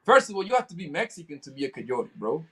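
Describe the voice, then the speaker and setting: A man's voice: a little high, very animated, fast, a bit bright. A man giving casual commentary as a panelist, emphatic and slangy, often repeating himself.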